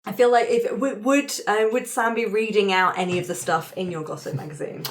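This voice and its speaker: A woman's voice: medium pitch, fairly even intonation, fairly quick. A woman narrating and facilitating a tabletop roleplaying game among friends in a casual, chatty, encouraging way.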